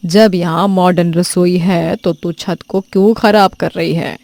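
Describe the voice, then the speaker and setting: Middle-aged mother-in-law, measured and firm, voiced by a woman; lowered voice, dry sound. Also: fairly even intonation, darkish tone, no echo. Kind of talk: cartoon story voice acting.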